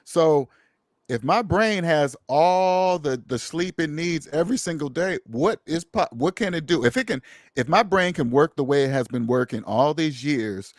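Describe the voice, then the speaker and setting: A man's voice: on the high side, expressive, moderate pace, no room sound, clean studio sound. A man hosting a casual conversation, speaking emphatically and preachily, repeating phrases for stress. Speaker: podcast host.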